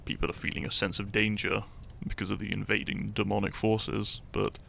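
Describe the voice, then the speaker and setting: A man playing an earnest, shy young hero in a roleplay audio drama, speaking to the listener in a halting, theatrical way. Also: on the low side, flat delivery, gravelly, a bit bright, slight background noise. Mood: fond, conversational. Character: shy young hero, earnest, secretly fond of his foe.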